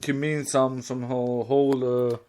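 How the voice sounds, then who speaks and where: A man's voice: mid-range voice, little pitch movement, moderate pace, darkish tone, a little hiss or hum. A man with a Swedish accent chatting casually as a podcast co-host, searching for words in non-native English. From Sweden. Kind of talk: casual chat.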